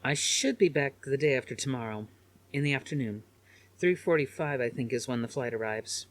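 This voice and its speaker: A loving, worried mother played by a male narrator, weary and gentle. The voice sits higher than a man's usual narration and carries an anxious care, in audiobook style. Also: expressive, normal speed, lightly acted.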